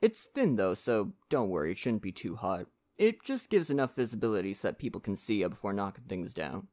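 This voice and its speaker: A performer voicing a friendly, poetic male lumberjack, chatty and gently courteous, in an ASMR roleplay addressed to the listener. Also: sits low, very animated, fairly quick. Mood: easygoing, chatty.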